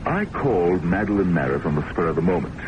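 An actor in an old-time radio drama playing a suave, self-important, middle-aged academic boss, wordy and patronizing. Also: male voice, low-pitched, sing-song, fast talker, muffled recording. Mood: smug, musing. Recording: noisy old radio broadcast recording.

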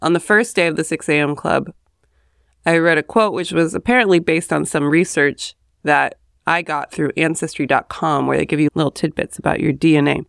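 Brief: woman host, just up and hoarse, gravelly edge, smooth resonant tone, rambling chatty asides, dry close sound. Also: low-pitched, brisk, no echo. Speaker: podcast host. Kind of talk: casual solo monologue. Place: home, early morning.

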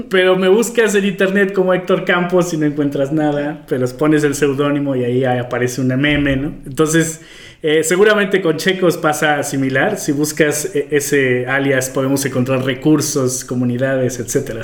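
A man's voice: a little high, steady tone, moderate pace. A man hosting a casual podcast interview in conversational style. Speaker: podcast host. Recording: roomy, echoing voice recording.

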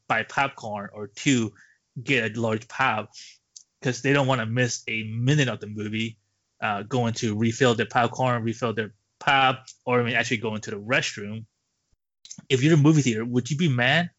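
A man's voice: mid-range voice, a bit bright, no room sound. A man co-hosting a movie podcast in a casual, conversational style. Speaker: podcast co-host. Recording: dull lo-fi podcast audio.

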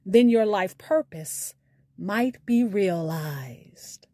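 A woman hosting a podcast, reading a scripted sign-off with deliberate, emphatic enunciation over music. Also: mid-range voice, animated, dark tone. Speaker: podcast host. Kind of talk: scripted podcast outro with spoken poetry.

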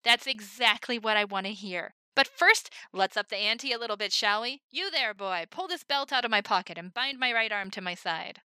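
A woman voicing an exuberant young woman soldier who plays a booming showman for a crowd. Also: lively intonation, moderate pace, few pauses, pushed and bright, no room sound, studio quality. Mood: teasing. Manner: booming, theatrical barker delivery.

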